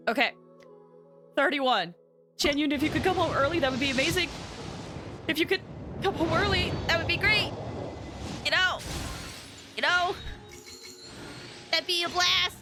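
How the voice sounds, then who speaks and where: A woman game streamer chatting casually with her viewers in an excitable, chatty manner. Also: sits high. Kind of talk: casual livestream chat with viewers.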